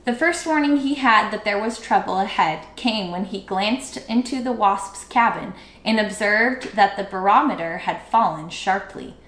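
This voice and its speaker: A woman reading a children's book aloud expressively, with dramatic, drawn-out emphasis. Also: medium pitch, a bit bright. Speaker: storybook read-aloud teacher. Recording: echoey room.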